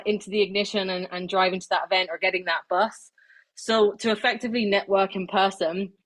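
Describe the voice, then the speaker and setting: A woman giving an informal online presentation, chatty, with many fillers and restarts. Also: mid-range voice. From not Irish, living in Ireland. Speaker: webinar speaker. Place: online webinar, video call.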